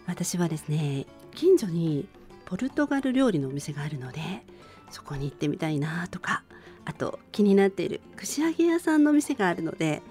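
Lively woman hosting bedtime radio. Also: mid-range voice. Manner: polite, listener-addressing, conversational. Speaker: radio show host and band vocalist. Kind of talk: gentle bedtime radio chat.

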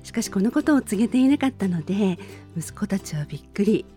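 A middle-aged woman hosting a radio show, speaking politely in a gentle solo talk with personal stories. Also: mid-range voice, animated, fast. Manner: polite, formal phrasing with personal anecdotes. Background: faint music.

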